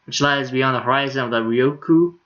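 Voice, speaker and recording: A man's voice: medium pitch, fairly quick, fairly bright tone. A man streaming a game, reading dialogue aloud with casual, amused asides. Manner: informal, amused. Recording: slightly dull streaming audio.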